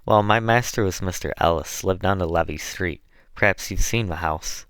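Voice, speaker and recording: A man's voice: low voice, moderate pace, fairly bright tone, clear, resonant tone, no room sound. A man acting out a weary enslaved Southern woman. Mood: weary, sorrowful. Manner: plaintive, folksy dialect speech. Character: weary, sorrowful enslaved woman telling her troubles.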